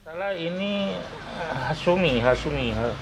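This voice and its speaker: A man's voice: fairly high pitch, animated, unhurried, crowd noise. A man, rambling through memories and searching for names and words. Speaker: interviewee, relative. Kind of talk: casual reminiscence. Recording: distant, echoey, very noisy.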